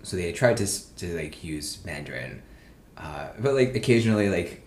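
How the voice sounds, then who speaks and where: A man's voice: low-pitched, lively intonation, moderate pace, a little mellow. A man hosting a casual chat, talking in a laid-back, rambling way with lots of fillers. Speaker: host. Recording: echoey room with faint noise.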